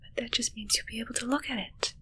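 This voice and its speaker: A woman playing a possessive, commanding witch in a whispered ASMR roleplay, softly taunting and close to the microphone. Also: on the high side, quick pace, lightly acted. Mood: gloating. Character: possessive, commanding young female witch addressing her familiar.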